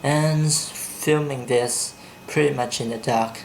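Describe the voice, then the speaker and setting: A man from Vietnam with a Vietnamese accent, talking slowly and deliberately in a home video blog, blunt when he gives his opinions. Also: mid-range voice, steady tone, gravelly, slight background noise, low-quality recording. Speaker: vlogger. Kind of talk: casual video blog, reading a quiz and ranting. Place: indoors at home at night, kept quiet.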